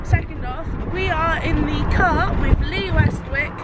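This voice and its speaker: A woman with a high voice opens a friend's vlog from a car, playful and giggly. Loud noise sits under her voice. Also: measured pace. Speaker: vlogger's friend.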